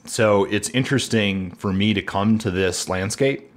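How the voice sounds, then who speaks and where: A man's voice: lowish voice, unhurried. A man with an American accent co-hosting a podcast, talking conversationally and thoughtfully about books, sometimes feeling for his phrasing. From United States, from Seattle and the Midwest. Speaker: podcast co-host. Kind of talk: conversational literary discussion.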